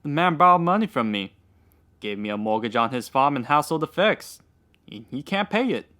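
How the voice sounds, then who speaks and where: A man's voice: medium pitch, lively intonation, brisk, bright, forceful tone. A man playing a greedy, hard-hearted land grabber in an old-time radio western, curt, stern and unyielding. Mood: businesslike.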